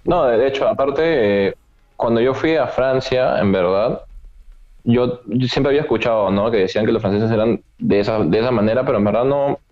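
A man in a casual chat about travel, speaking in a measured way and often tagging his sentences with a questioning "right?". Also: mid-range voice, darkish tone. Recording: dull lo-fi sound.

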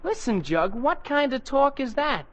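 A man's voice: extremely high pitch, measured pace, exaggerated voice. An actor playing an eager, exasperated teenage boy in a 1940s radio comedy. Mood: incredulous, needling. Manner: animated, incredulous. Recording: old 1940s radio broadcast.

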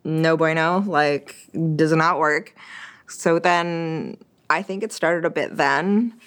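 A woman's voice: low voice, slow, a bit bright, smooth. A young woman from Quebec whose first language is French, talking casually as an interview guest, with many fillers and restarts. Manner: chatty, frequent fillers and restarts.

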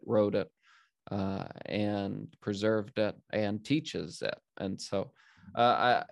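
Slow gravelly-voiced podcast host. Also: male voice, fairly low pitch, no room sound, clean studio sound. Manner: earnest, rambling with restarts and fillers. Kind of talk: casual podcast talk on Bible study.

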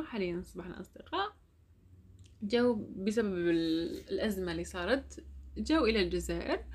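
A young woman with an Algerian Arabic accent, chatting casually and telling a personal story. She laughs often and mixes French words into her speech. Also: mid-range voice.

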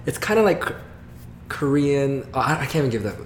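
Animated young man telling stories, echoey room. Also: mid-range voice, a little fast, faint music behind. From Guam. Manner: animated storyteller, acts out his parents' voices. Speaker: comedian guest. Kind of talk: casual interview chat. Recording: echoey room with faint noise.

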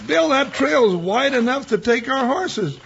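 A good-hearted American cowboy sidekick in an old radio western. His voice sits high with a gravelly crackle, and he talks in a folksy drawl, on a muffled, noisy vintage recording. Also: animated, measured pace, exaggerated voice. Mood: puzzled, eager. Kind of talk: 1950s radio western acting.

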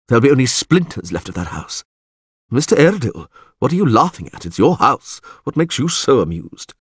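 A man voicing a grave, knowing male character in an audiobook, who explains and warns in a measured, ominous way. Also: medium pitch, very animated, slightly rough, no room sound, studio quality, voice pushed up. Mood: gloomy warning.

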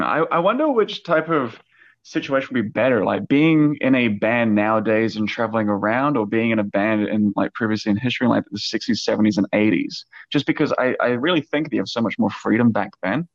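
A man's voice: fairly low pitch, quick pace, no room sound. A man with a slight Australian accent asking curious, loosely phrased interview questions. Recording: dull dry lo-fi audio.

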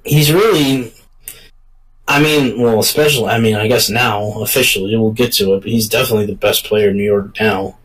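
A man hosting a casual sports talk show, speaking in a deliberate, halting way. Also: on the low side, steady tone, fairly bright tone, husky, recorded from a distance, rough recording. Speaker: sports podcast host.

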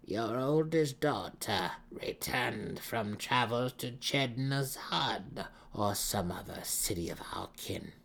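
Man voicing slow, withered old dark elf matriarch. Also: fairly low pitch, lively intonation, vocal fry, breathy edge, full character voice. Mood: sly. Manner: measured, drawn-out, mysterious delivery.

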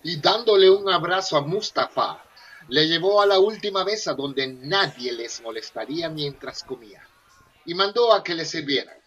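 A man's voice: fairly high pitch, expressive, normal speed, fairly bright tone, husky, highs cut off. A man narrating a humorous radio soap as a theatrical storyteller. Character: theatrical storyteller of a comic 1950s tale.

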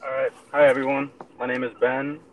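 A man's voice: mid-range voice, flat delivery, a little fast, far from the mic, narrow, tinny audio, slight background noise. A man in his twenties from Puerto Rico, a podcast guest speaking eagerly and sincerely. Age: 20s.

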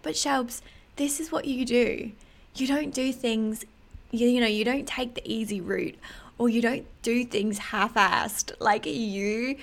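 An Australian woman with a slightly husky voice, talking candidly and emphatically in a casual solo podcast monologue. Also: medium pitch. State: throat a bit hoarse in early morning. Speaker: podcast host.

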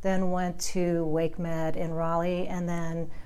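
Female hospital executive, middle-aged, slightly low voice, smooth steady delivery. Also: slow. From Ohio. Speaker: hospital president. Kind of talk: interview self-introduction.

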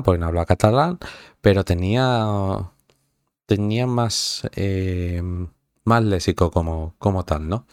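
A man's voice: deep voice, darkish tone, dry recording, studio quality. A young man from Madrid with a Madrid Spanish accent, chatting casually with viewers in a rambling, profane way full of fillers and restarts. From Madrid, Spain. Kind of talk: casual chat.

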